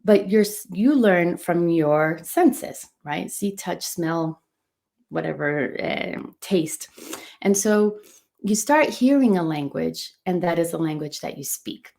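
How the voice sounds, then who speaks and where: A woman's voice: on the low side, lively intonation, takes it slowly, vocal fry, a little mellow. A middle-aged woman whose first language is Portuguese, teaching an enthusiastic motivational lesson as a coach, stressing points by repeating words. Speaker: coach and run club business owner.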